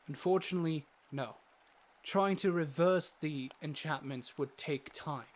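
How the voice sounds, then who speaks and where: A man playing a learned, easily exasperated doctor, narrating a fantasy audio drama in a measured, earnest way. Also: fairly high pitch, lightly acted. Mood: grave, measured. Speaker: narrator and lead character.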